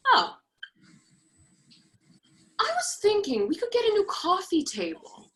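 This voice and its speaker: A woman's voice: very high voice, huge pitch swings, slow, fairly bright tone, heavily acted. A woman in a play reading playing a polite, naive young roommate, wondering and curious. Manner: short, wondering questions. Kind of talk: play reading, naturalistic conversational acting.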